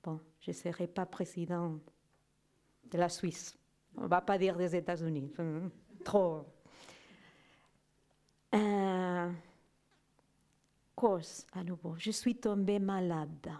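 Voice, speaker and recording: A woman speaks slowly and warmly, pausing often as she looks for her words in non-native French. Her voice sits a little low and mellow, and she keeps turning to her listeners with a questioning "non?" as she tells her story. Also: steady tone. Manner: halting, searching for words, asks "non?" often. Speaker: guest speaker giving a testimony. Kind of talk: slow reflective personal testimony.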